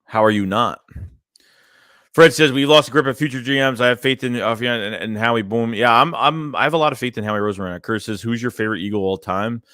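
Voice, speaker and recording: A man's voice: mid-range voice, fairly quick, a bit bright, no room sound, studio quality. A young man hosting a casual fan livestream, reading chat messages and rambling loosely with lots of "man" and "dude". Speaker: fan channel host reading live chat.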